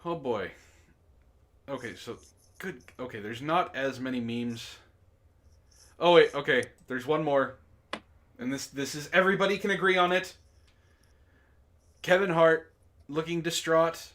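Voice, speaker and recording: A man hosting a comedy book club, reading aloud and bantering casually in an animated, mock-dramatic way, prone to laughing. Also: fairly high pitch, measured pace, long pauses.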